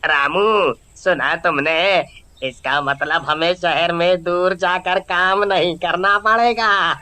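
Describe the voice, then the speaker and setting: Hopeful cartoon village workman, played by a man: piercingly bright, highish, excitable. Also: exaggerated voice. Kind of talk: cartoon story dialogue.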